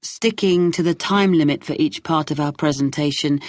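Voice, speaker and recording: A woman playing an earnest British university student in a scripted exam dialogue. Her voice sits a little low, and she explains things practically, in a dry recording with no room sound. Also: normal speed, no echo, close to an everyday voice. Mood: matter-of-fact. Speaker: student presenter. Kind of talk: scripted listening-test dialogue acting.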